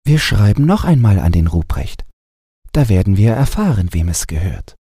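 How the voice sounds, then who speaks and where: A man voicing a polite, fussing governess with an excitable manner in a storybook reading. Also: fairly low pitch, moderate pace, slightly rough, no echo, studio quality, voice pushed up, full character voice. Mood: soothing. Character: polite, fussing young governess, attentive to child.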